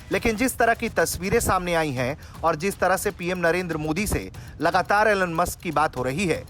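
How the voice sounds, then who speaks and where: A man's voice: a little high, a bit bright. A man narrating a scripted news report as a reporter. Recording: music bed under the voice.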